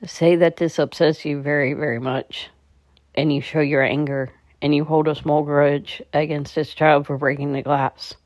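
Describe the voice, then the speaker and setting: Woman guiding meditation, very even pitch. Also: normal speed, no room sound. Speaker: meditation guide. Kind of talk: guided meditation narration.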